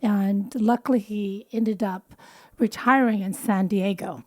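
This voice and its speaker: A woman's voice: mid-range voice, steady tone, unhurried. A woman in her fifties, born in the Philippines, a wellness doctor talking casually in an interview. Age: 50s. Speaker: anti-aging and wellness doctor.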